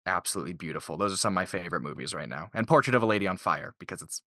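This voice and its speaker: Male interview guest, informal and keen, crisp forward tone, very dry sound. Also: sits low, lively intonation, racing, a bit bright, no echo. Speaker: interviewed voice actor. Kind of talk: casual interview chat.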